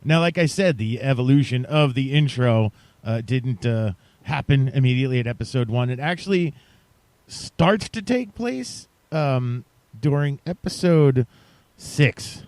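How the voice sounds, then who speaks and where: A man's voice: mid-range voice, animated, a little slow, darkish tone, dry recording. Male podcast host, mid-range voice, casual and chatty with playful emphasis, dry studio-close sound. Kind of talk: casual podcast chat addressed to listeners.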